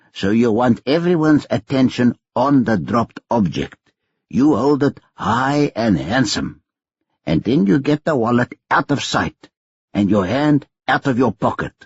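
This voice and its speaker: Older pickpocket mentor, instructive and unhurried, put on by a male narrator; husky tone. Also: medium pitch, no room sound. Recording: dry, dull audio.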